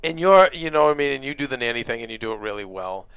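A man's voice: mid-range voice, expressive, rapid-fire, fairly bright tone, smooth, no echo, rough recording. A man guesting on a podcast in a studio, talking in a rambling way with many restarts. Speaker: podcast guest, show producer. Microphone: swapping headsets, first mic not working.

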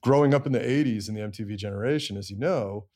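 A man's voice: lowish voice, fast, darkish tone. A middle-aged man with an American accent, telling his story candidly and self-deprecatingly as an interview guest. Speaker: interview guest, songwriter and producer.

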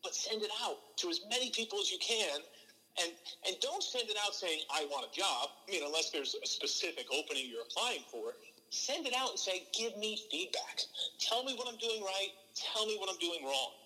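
Middle-aged male play-by-play man telling stories earnestly, voice a bit high. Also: fairly quick, in a large hall, poor audio. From from New Jersey. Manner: earnest, animated, frequent restarts. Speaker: baseball play-by-play broadcaster, podcast guest. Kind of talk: relaxed interview storytelling.

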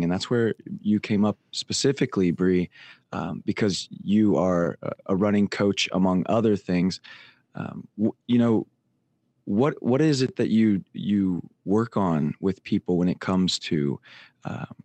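A man co-hosting a podcast in easygoing casual chat, hoarse and losing his voice. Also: fairly low pitch, dry recording. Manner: easygoing, relaxed, chatty. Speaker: podcast co-host.

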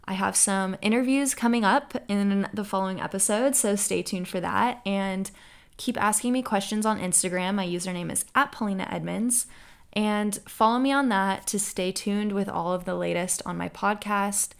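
A woman's voice: medium pitch, normal speed, gravelly, smooth. A young American woman hosting her own podcast, answering listener questions in an upbeat, earnest way. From United States. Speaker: podcast host. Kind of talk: solo podcast Q&A.

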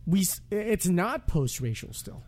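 A man's voice: high-pitched, big pitch swings, measured pace, a little mellow. A man interviewing casually, speaking emphatically and repeating his phrases. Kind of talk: casual chat.

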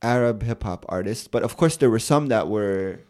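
A man's voice: lowish voice, normal speed. A man in his twenties, a rapper and poet, talking casually in an interview, full of "like" and repeated "yeah". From United States. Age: 20s. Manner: relaxed, repeats words and fillers, many "like" and "yeah, yeah".

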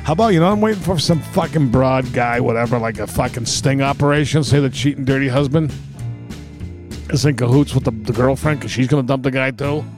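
A man's voice: mid-range voice, brisk. A man co-hosting a podcast, chatting casually with slangy, profane, joking talk. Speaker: podcast co-host. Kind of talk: casual chat. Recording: music behind the voice.

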